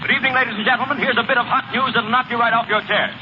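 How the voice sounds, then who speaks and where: A man's voice: extremely high pitch, steady tone, loud music behind the voice. A man acting an urgent, sensational newscaster reading a punchy bulletin in a 1930s radio drama. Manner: rapid-fire, punchy. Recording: noisy, muffled vintage radio recording.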